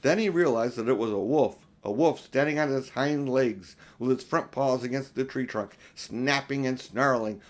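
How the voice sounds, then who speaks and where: A man's voice: mid-range voice, normal speed, hardly any pauses. An older man narrating a children's storybook as an amateur audiobook reader, in a plain, homely manner.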